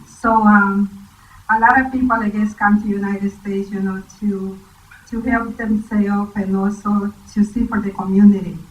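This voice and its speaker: A woman's voice: medium pitch, level tone, normal speed, roomy sound. Hesitant woman, dull distant sound. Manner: hesitant, many fillers. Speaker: symposium panelist. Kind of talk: personal testimony. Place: church hall.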